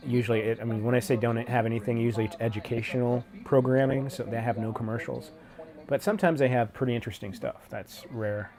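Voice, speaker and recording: A stuffed-up man with a cold, a little sniffly, chatting loosely on his live stream. He greets viewers one by one, tossing in dude and man, in a voice of ordinary middle pitch. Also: fairly even intonation, quick pace. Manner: laid-back, slangy, says dude and man a lot.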